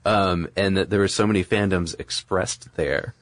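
A man's voice: sits low, fairly even intonation, normal speed, no echo. A man co-hosting a podcast, explaining history in a casual, discursive, conversational way. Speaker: podcast co-host.

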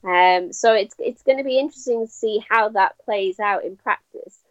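A woman, a guest academic, explaining in a measured, thoughtful way with frequent ums and restarts. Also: medium pitch, dry recording, muffled recording. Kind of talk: explanatory interview talk.

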